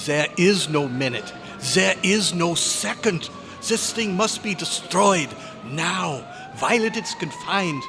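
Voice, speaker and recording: A man's voice: high-pitched, expressive, slow pace, music in the background, exaggerated voice. A man playing a desperate scientist in an old-time radio drama, pleading urgently in formal, exclamatory phrases, recorded live. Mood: exasperated, urgent. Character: frantic, desperate male scientist warning of impending doom. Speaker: club member performing a radio play recreation. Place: hotel convention meeting room, live performance. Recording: noisy live convention room recording.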